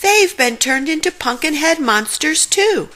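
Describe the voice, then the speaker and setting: A woman's voice: high-pitched, lively intonation, measured pace, bright, forceful tone, voice pushed up, full character voice. A woman voicing a cowardly, hungry young male slacker with exclamatory, "like"-peppered speech in a cartoon storybook. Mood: panicked.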